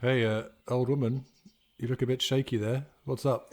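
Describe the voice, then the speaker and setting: A man playing in a tabletop roleplaying game. His voice sits at a middle pitch, and he improvises his lines as a player. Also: little pitch movement, moderate pace, a little mellow.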